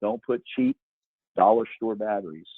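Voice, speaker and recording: A man with a fairly low, husky voice speaks as a guest expert in an informal question-and-answer session. He gives advice emphatically. The sound is tinny and narrow, like a phone line. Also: moderate pace, no echo.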